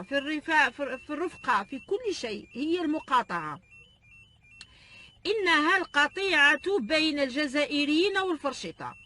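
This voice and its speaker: Fast, high, emphatic Algerian woman. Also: bright, forceful tone, slight background noise. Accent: Algerian Arabic. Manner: emphatic, hammering repetition. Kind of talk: impassioned address to the public.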